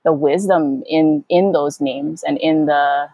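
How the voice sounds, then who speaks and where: A woman from Hawaii speaking reflectively as an interview guest, thoughtful and halting as she searches for words. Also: deep voice, fairly even intonation, dark tone, slightly rough, no echo. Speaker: guest, Hawaiian educator and activist.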